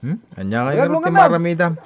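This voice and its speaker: Highish male voice, teasing confidant, nosy, exclamatory, very animated intonation, radio drama, busy background. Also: fairly quick, a loud crowd. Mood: teasing, questioning. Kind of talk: Ilocano radio drama acting.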